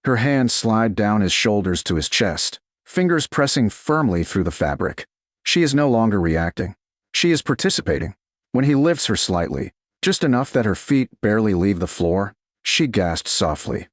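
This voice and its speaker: A man narrating a scripted romance story in a measured, dramatic way, in short clipped phrases. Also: on the low side, sing-song, dry recording, studio quality. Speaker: audiobook narrator.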